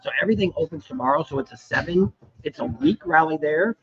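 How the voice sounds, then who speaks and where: A slightly hoarse man with a cold hosts a casual live chat, rambling along with frequent "uh" fillers. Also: on the high side, animated, fast talker, a bit bright, dull audio. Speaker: livestream host. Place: restaurant at a motorcycle rally.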